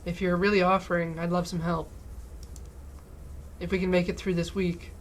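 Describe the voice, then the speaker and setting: Lower-pitched woman co-streaming a game, noisy background. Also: little pitch movement, moderate pace. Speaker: co-streamer voicing lines and commenting on the game. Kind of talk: casual chat during a live game stream. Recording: clearly audible background noise.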